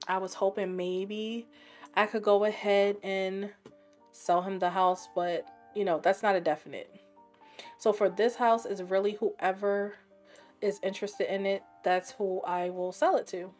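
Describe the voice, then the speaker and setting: Raspy woman streamer w/ a scratchy sore throat, thinking out loud as she plays, soft music under. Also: medium pitch, steady tone, unhurried. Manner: chatty, thinking aloud, trailing off. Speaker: game streamer. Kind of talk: casual gameplay commentary.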